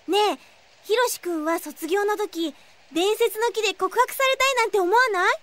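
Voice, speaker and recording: A woman's voice: very high voice, moderate pace, a bit bright. A woman playing an impetuous, girlish teenage schoolgirl in an anime audio drama, eager for romance and childishly petulant. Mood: wistful. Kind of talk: anime radio drama acting.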